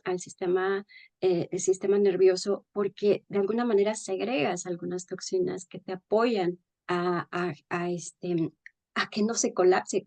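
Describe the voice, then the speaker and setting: A woman's voice: medium pitch, a little mellow. Woman podcast co-host, casual and hesitant.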